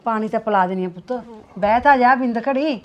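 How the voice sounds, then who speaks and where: A bossy middle-aged mother, played by a woman, snapping at the household in a raised, scolding voice. Her voice sits a little high for a woman. She nags and coaxes her grown son in a homemade family skit. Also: fairly quick, highs cut off, natural voice. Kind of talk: comic family skit acting.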